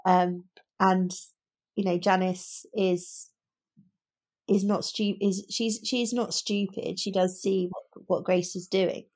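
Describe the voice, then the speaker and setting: A woman chats casually about books as a podcast co-host. Her voice sits at an average female pitch. She is reflective and tentative, working out her opinion as she speaks. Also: level tone, takes it slowly, a little mellow. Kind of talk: casual book discussion.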